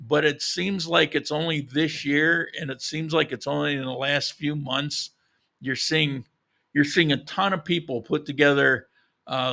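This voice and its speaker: A gravelly, bright-toned man talks to his viewers in a rambling, opinionated way. He restarts often, and he is short of breath with a voice that keeps giving out. His pitch sits a little high for a man. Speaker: vlogger. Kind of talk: casual chat.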